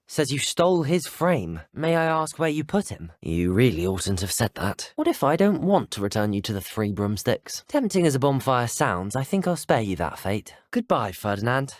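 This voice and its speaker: A young man with a British accent playing a polite, curious, self-assured teenage wizard student in a video game, courteous and gently wry. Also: medium pitch, very animated, moderate pace, hardly any pauses, no echo, clean studio sound, natural voice. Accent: British English. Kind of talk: video game character voice acting.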